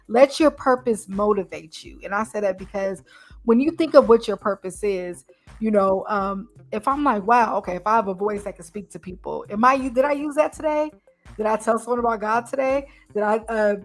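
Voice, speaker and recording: A woman hosting a casual podcast, reflective and encouraging as she talks with her guest. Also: medium pitch. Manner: reflective and encouraging, frequent affirming "mm-hmm". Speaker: podcast host.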